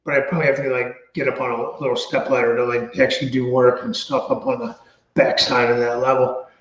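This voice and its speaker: Casual male host, mid-pitched, meandering, roomy slightly dull sound. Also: a little fast. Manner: thinks out loud, meandering. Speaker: show host. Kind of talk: casual chat.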